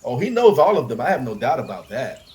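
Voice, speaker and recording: A man's voice: mid-range voice, sing-song, fast talker, a little mellow, lightly acted. A man voicing a grave, anxious young nobleman in an audiobook, earnest and emphatic. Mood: urgent, wary. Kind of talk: audiobook character acting.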